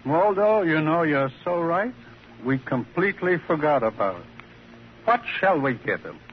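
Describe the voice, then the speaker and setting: A troubled, dependent man played by an actor, slightly high in pitch. It is vintage radio acting on a muffled, faintly noisy recording. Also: animated, moderate pace, natural voice. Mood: distracted. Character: troubled, dependent male ventriloquist named Ernie. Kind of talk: 1950s radio drama acting.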